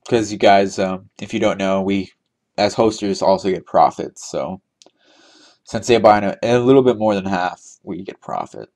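A young man with a lowish voice talks over his screen as he hosts a trivia giveaway. He rambles and thinks aloud, with easy laughs between thoughts. The sound is close and plain, from a desk microphone at his computer. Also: normal speed, darkish tone. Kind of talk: casual gameplay commentary.

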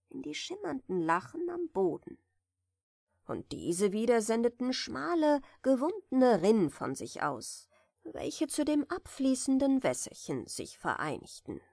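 A woman softly reading a bedtime fairy tale in a gentle, soothing manner, at times close to a whisper. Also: on the high side, vocal fry, dry recording. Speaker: audiobook reader, storyteller.

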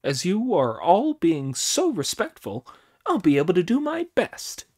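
A man voicing a brash, cheeky monkey king who talks high and loud in an audiobook. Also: huge pitch swings, a little slow, exaggerated voice. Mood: mocking. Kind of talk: audiobook character voice.

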